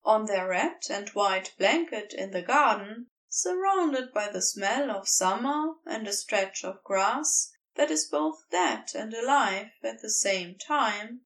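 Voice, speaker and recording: A woman narrating a scripted story with measured, deliberate phrasing. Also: mid-range voice, expressive, a bit bright, distant mic. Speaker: podfic reader.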